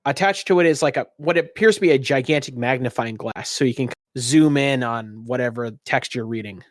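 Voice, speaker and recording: A man's voice: medium pitch, brisk, no echo. Man narrating a tabletop game, dry close sound. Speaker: game master.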